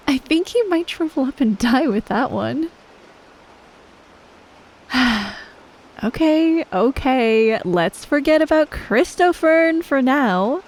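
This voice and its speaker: Woman acting a slow, shy young best friend. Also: sits high, full character voice. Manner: hesitant, apologetic, soft-spoken, halting. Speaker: audio roleplay performer. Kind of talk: scripted ASMR roleplay, speaking to the listener as a friend.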